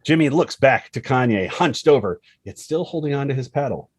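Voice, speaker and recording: A man's voice: mid-range voice, animated, normal speed, a little mellow, slightly rough, no echo. A man reading a screenplay's action lines as dramatic narration, expressively and in short punchy phrases. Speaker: screenplay reader.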